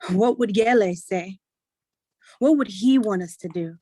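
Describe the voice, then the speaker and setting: A woman acting a gentle, pleading grown daughter in a staged reading of a play. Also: mid-range voice, unhurried, no echo, natural voice. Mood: earnest, hopeful.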